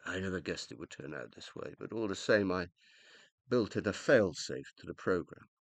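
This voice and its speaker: An actor in a radio play voicing the uploaded mind of a dead scientist, self-reproaching and loving, with an emotional, hesitant, confessional delivery. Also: male voice, low-pitched, lively intonation, moderate pace, creaky, dry recording, exaggerated voice. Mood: resigned, sorrowful.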